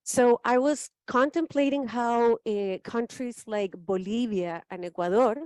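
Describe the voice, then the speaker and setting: A woman's voice: fairly high pitch, measured pace, a little mellow, clean tone, no room sound. A woman from Chile, speaking as a panelist in a thoughtful, emphatic way and often checking in with "right?". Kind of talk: spoken forum remarks.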